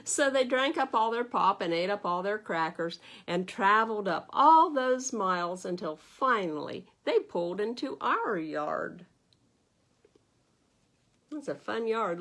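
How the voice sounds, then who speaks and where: A woman with a medium-pitched voice reads a children's picture book aloud. She is expressive in the manner of a library storytime host, slipping between the story and gentle, chatty questions for young listeners.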